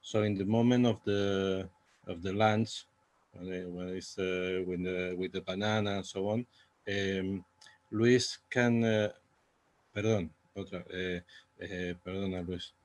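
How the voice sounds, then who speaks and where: Spanish guy w spanish accent, really low monotone voice, hesitant, searching for words at a theatre q&a. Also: unhurried. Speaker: playwright. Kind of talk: post-show Q&A talk.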